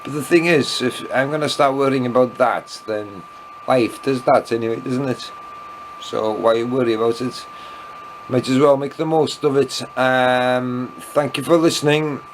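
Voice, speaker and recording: Welsh guy a bit tipsy, rambling slow, keeps losing his thread, humming noisy recording. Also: medium pitch, steady tone. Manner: meandering, loses his thread, self-deprecating asides. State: tipsy after wine. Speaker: vlogger. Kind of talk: rambling evening video diary.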